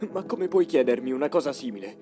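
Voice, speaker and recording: A man's voice: mid-range voice, expressive, quick pace, natural voice. A man voicing an earnest, incredulous young man in a motion comic dub, emotional and questioning. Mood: dismayed. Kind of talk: dramatic motion comic dubbing. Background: faint music.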